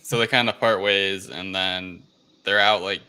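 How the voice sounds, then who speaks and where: A man's voice: sits low, fairly even intonation, a little slow, bright, forceful tone. A man co-hosting a casual film review chat, recapping scenes informally. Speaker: podcast co-host. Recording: clear background noise.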